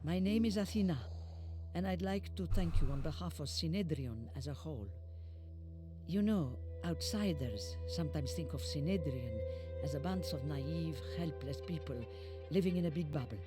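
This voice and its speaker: A woman's voice: deep voice, lively intonation, moderate pace, music in the background. An actress playing an earnest, idealistic spokeswoman in a scripted video game monologue. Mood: earnest, welcoming. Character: earnest idealistic woman speaking for a democratic faction.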